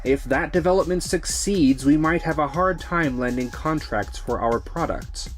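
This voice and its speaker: A man's voice: fairly high pitch, normal speed, soft background music, natural voice. A man playing an earnest, courteous businessman in a manga dub. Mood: grave, concerned. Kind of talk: manga dub character acting.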